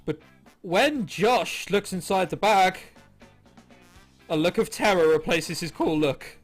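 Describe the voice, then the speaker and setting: A man's voice: sits high, lively intonation, slow. A man reading a visual novel aloud as a gaming host, with amused, sarcastic, sweary asides, over game soundtrack music. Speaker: host of a gaming video series.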